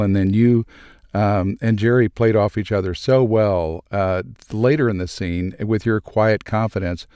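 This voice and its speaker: Lower-voiced man, smooth and clear. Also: darkish tone, no room sound, clean studio sound. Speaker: cast member. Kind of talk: casual panel chat giving feedback.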